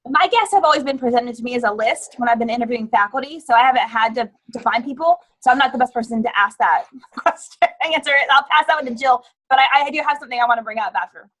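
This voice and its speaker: A woman with a high voice talks fast and lightly, passing a question on with self-deprecating humor. Casual panel chat. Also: fairly bright tone, rough recording. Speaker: faculty interviewer.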